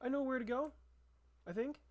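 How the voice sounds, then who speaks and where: A woman's voice: sits high, fairly quick, a little hiss or hum. A young adult woman commenting casually over a game she is playing, blunt, swearing now and then and thinking aloud. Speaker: gamer playing along.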